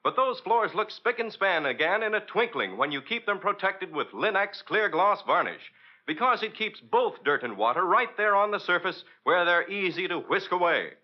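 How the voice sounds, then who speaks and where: A man's voice: sits high, sing-song, moderate pace. A man announcing in a polished, theatrical 1940s radio style, as if reading sponsor copy. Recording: dull old radio recording.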